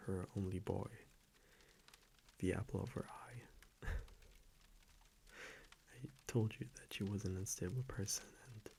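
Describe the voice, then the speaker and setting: A man playing a gentle, confiding vampire boyfriend in an intimate ASMR roleplay, speaking hushed and hesitant and often slipping into a whisper. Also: low-pitched, unhurried, vocal fry, pauses often, slight background noise. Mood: quietly confiding.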